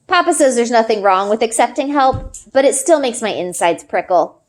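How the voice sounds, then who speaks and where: A woman reads a children's novel aloud as a first-person narrator. Her voice sits fairly high and swings expressively across each sentence. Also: expressive, moderate pace.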